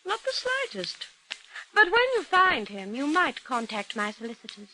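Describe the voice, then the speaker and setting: A snooty annoyed young rich girl in an old radio play, bright high voice, short curt replies. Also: big pitch swings, moderate pace, slight background noise, exaggerated voice, low-quality recording. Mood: cool, curt. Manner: curt, imperious. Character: haughty, irritated young society woman. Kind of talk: old-time radio drama acting.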